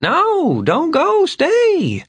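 A man's voice: very high-pitched, huge pitch swings, very slow, fairly bright tone, dry recording, pitched above the performer's own voice, heavily acted. A man voicing a drunk, disheveled middle-aged man who rambles, slurs and swings between overly polite and maudlin. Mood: tipsy, needy. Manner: slurred, wandering, overly polite then maudlin.

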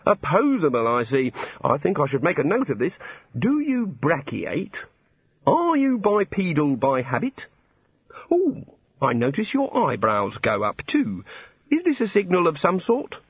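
A man performs a fussy old god in a comic storybook, eager and apologetic yet easily vexed. The voice sits high and swoops about as it dithers through flustered rambles, on a slightly muffled recording. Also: wildly swooping pitch, measured pace, breathy edge, dry recording, heavily acted. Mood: curious. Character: fretful, apologetic, testy bearded old god, eagerly curious.

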